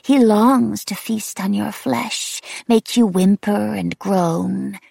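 A woman voicing a sinister, demented female wraith who taunts in a breathy, whispering way with sing-song repetition. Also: medium pitch, fairly even intonation, slow pace, a little mellow, no room sound, clean studio sound, pitched above the performer's own voice, heavily acted. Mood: menacing glee.

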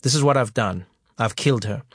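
A man playing a flat, resigned despairing man. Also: mid-range voice, brisk, husky, no echo, clean studio sound.

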